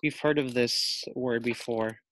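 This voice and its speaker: A man reading a children's picture book aloud as a teacher, expressively, pausing to put questions to his young listeners. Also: mid-range voice, steady tone, a bit bright, poor audio.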